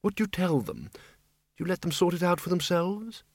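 A man voicing an elderly dead wizard who speaks softly and hesitantly, musing aloud. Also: high voice, lively intonation, takes it slowly, dry recording, clean studio sound, pitched above the performer's own voice, exaggerated voice. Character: elderly dead male wizard, mild and thoughtful.

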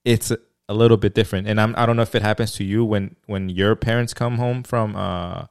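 Deep, smooth-voiced man hosting a podcast. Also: brisk. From Mexico. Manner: relaxed, hesitant, many fillers and restarts. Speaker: podcast host. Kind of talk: casual chat.

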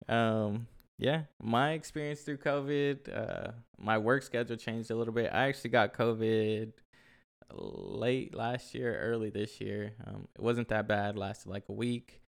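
Gravelly-voiced young man hosting. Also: fairly low pitch, measured pace. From Oklahoma. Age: 20s. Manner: relaxed, lots of ums, laughs easily. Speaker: podcast co-host. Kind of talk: casual chat. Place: shoe room at home.

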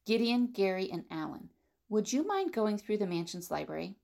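A woman playing a brisk, take-charge leader of a fugitive team in an audio drama, with a creaky voice. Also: mid-range voice, sing-song, darkish tone. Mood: commanding.

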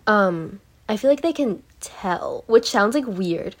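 A woman's voice: mid-range voice, expressive, slow pace. A teenage girl being interviewed as a podcast guest, rambling with many fillers and telling stories animatedly. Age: teens. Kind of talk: casual chat.

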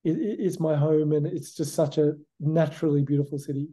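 A man's voice: fairly high pitch, steady tone, brisk, warm tone. A middle-aged Australian man answering interview questions in a reflective, conversational way, often saying "you know" and "look". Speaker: guest.

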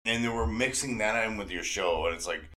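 A man's voice: lowish voice, a little fast, sharp tone. A man in his forties chatting casually as a fan and host, earnest and rambling, often repeating himself and swearing freely. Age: 40s. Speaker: podcast host and devoted fan.

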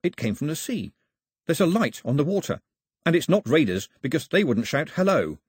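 A man reads a young island hero from a storybook, irreverent and stubborn. His voice is husky with lively pitch, and his dry retorts come out firm and defiant. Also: moderate pace, no room sound. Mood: urgent.

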